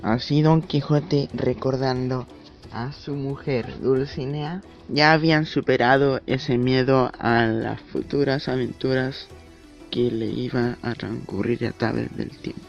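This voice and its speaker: A man narrates a scripted summary in a creaky, gravelly voice of medium pitch. The sound is dull and lo-fi, with music underneath. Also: fairly even intonation, a little slow. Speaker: narrator of a student book summary. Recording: dull, lo-fi amateur recording.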